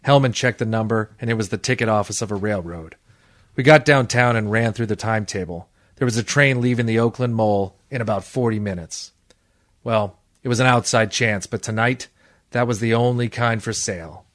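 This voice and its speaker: A hardboiled private eye acted out by a man in a classic radio crime play. The voice is low and dry, close and free of room sound. He delivers cynical wisecracks with a deadpan, world-weary air. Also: a little fast, dry recording, lightly acted. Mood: deadpan narrating. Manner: deadpan, sardonic. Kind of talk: old-time radio detective drama acting and narration.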